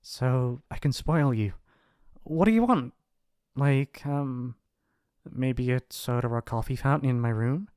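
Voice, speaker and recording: A man's voice: medium pitch, measured pace, a little mellow, smooth, dry recording, clean studio sound, lightly acted. A man playing a possessive, lovestruck and shy mafia boss's son in an intimate, earnest romantic ASMR roleplay. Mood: eager, doting.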